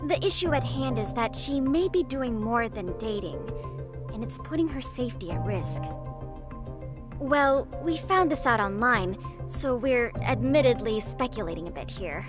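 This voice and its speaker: A woman's voice: on the high side, animated, moderate pace, natural voice. A woman playing a self-important teenage schoolgirl who leads a detective club in a video game dub, with pompous, precise, dramatic phrasing. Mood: playful, dramatic. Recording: music under the dialogue. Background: music.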